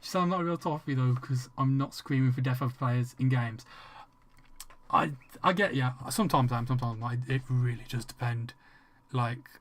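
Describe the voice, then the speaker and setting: A man's voice: medium pitch, moderate pace. Rambling, swearing English streamer man. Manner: rambling, swearing freely, frequent restarts. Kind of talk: casual livestream chat with viewers.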